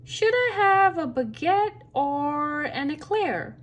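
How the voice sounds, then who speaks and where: A woman voicing an easygoing, groovy male cat musician from a children's storybook in a laid-back, upbeat, unhurried manner. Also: sits high, expressive, voice pushed up. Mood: curious.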